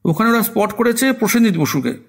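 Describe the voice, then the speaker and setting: A police inspector, acted by a man, reports on the phone in a fairly high voice. He sounds brisk and obliging. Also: big pitch swings, creaky, husky, exaggerated voice.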